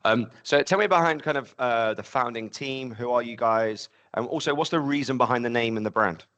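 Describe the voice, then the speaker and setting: A man's voice: mid-range voice, fairly quick, a bit bright, lo-fi sound. A man hosting an interview, chatty and rambling, with lots of "like" and "kind of". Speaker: podcast host and interviewer.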